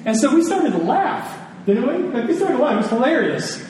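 A man's voice: very high voice, sing-song. Man preaching in a very echoey hall. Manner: conversational, with emphatic repetition and pauses. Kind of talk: sermon with personal stories. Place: large reverberant room with an audience. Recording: echoey hall, distant, faint noise.

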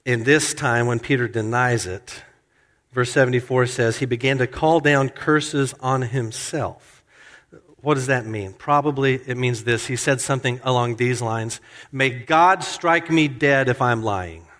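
A man's voice: a little slow, a bit bright. A man preaching a sermon, walking through a passage verse by verse and acting out the quoted lines with a livelier voice. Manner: expository preaching, acts out quoted lines with rising pitch. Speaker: pastor. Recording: hall reverb.

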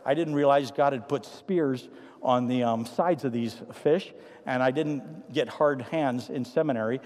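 A man with a Trinidadian accent, a pastor preaching a sermon with humorous stories that give way to quiet, earnest appeals. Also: medium pitch, measured pace. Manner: animated anecdotes, then quiet earnest appeals. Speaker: pastor to seniors. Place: church sanctuary. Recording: long hall reverb.

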